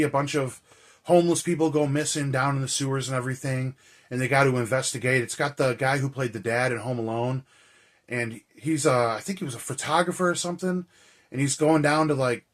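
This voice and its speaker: A man with a hoarse, raspy, tired voice, hosting a vlog in a casual, rambling, slangy way. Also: mid-range voice. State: hoarse and tired after a cold and shouting. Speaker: horror movie reviewer and vlog host. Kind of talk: casual chat to camera.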